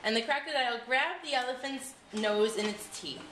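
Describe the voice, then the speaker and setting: A woman narrating a scripted storybook tale in an expressive, deliberate way, recorded in an echoey room with faint noise. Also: high-pitched.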